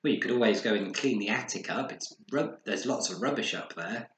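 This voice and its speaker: A man's voice: on the low side, fairly quick, a bit bright, lightly acted. A man voicing a busy, caring mother bear in a children's story, calling and coaxing. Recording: roomy echo, faint background noise.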